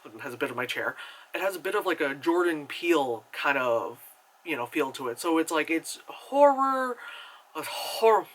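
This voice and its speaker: Chatty bright-voiced young man. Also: moderate pace, slight background noise, rough recording. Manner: chatty and animated, many fillers, jumps into high acted reactions. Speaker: movie reviewer. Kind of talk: casual spoken review.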